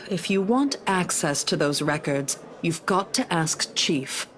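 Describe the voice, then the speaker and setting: Blunt, low-voiced weary detective. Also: female voice, lively intonation, slow pace, rough recording. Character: world-weary, blunt police detective woman. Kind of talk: video game voice acting.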